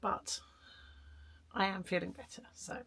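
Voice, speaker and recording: Hoarse, gravelly woman. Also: mid-range voice, unhurried, a bit bright. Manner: chatty, self-deprecating, rambling. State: hoarse from a cold. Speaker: vlogger. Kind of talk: casual art vlog chat. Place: garden shed studio.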